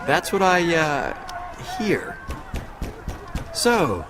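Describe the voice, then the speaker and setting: An actor playing a reserved, tactful old friend, speaking carefully and hesitantly in an audio drama. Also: male voice, on the high side, wildly swooping pitch, very slow, a crowd in the background, heavily acted. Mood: hesitant, polite.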